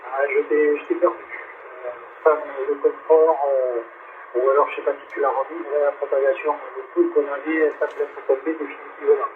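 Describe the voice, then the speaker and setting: A man heard through a radio transmission, chatting casually as a hobby operator, halting and searching for words. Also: on the high side, little pitch movement. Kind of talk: casual two-way radio chat. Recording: narrow, noisy CB radio reception.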